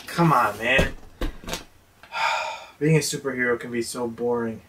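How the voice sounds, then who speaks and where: A man in his twenties playing a bored, dorky amateur superhero with a deadpan, mock-heroic delivery. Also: mid-range voice, slow, husky, full character voice. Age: 20s.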